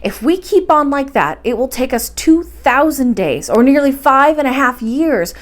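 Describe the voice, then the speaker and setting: A woman's voice: high-pitched, expressive, normal speed. A woman voicing a cautious young nephew in a storybook, politely questioning and reasoning, respectful but doubtful.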